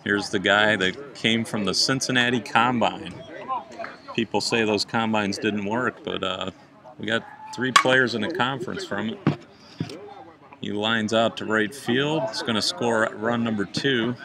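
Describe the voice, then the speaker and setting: A man calling plays as a softball announcer, with a hard, flat delivery. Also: on the low side, unhurried, pauses often. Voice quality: hard monotone voice. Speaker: play-by-play announcer. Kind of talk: amateur softball commentary. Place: outdoor softball field. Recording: loud crowd behind.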